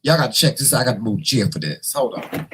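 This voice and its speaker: A hoarse man hosting a casual morning livestream, chatting and teasing his viewers in a folksy way. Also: mid-range voice, big pitch swings, fast, a bit bright, a little hiss or hum. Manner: folksy, teasing, talks to the chat; repeats words and restarts often. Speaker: morning show host.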